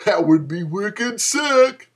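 A man's voice: sits high, animated, slow, voice pushed up, exaggerated voice. Man doing a clueless, dopey young slacker dude. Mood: dim enthusiasm. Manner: dopey, drawling slacker slang. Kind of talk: dramatic reading of flash fiction.

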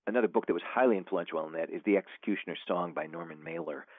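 A man's voice: deep voice, rapid-fire, no room sound. A male author talking casually as an interview guest, rambling with many fillers and restarts. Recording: tinny phone line, dry.

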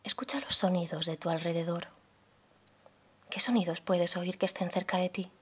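A woman leading a guided meditation as a yoga guide, speaking softly and soothingly, unhurried, with pauses between phrases. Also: lowish voice, steady tone, husky. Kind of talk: guided meditation, slow calm instruction.

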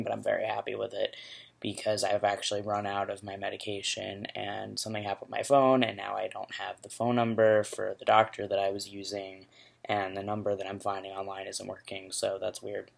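A young man with a low, rumbly, gravelly voice chats into his laptop webcam in a small bedroom. His pitch stays flat and even, and he talks in a relaxed, rambling, candid way. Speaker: vlogger. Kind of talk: casual video diary.

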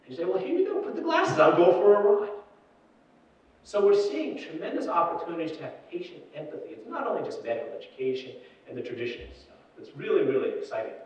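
A middle-aged man gives a keynote on a dark ballroom stage and hammers home points by repeating them. He is heard from well back in the hall, so his voice rings off the walls with a long echo. The sound is dull and muffled, as if taken through the room's speakers. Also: high voice, very animated, distant mic. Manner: emphatic, quick asides, repeats key phrases. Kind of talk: conference keynote talk. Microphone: room PA picked up by a far camera.

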